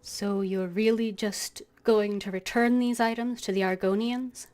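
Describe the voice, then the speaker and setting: Female game streamer, medium pitch, reads on-screen dialogue aloud and thinks out loud. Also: steady tone, a little slow. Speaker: gaming streamer. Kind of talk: live game commentary, reading dialogue aloud.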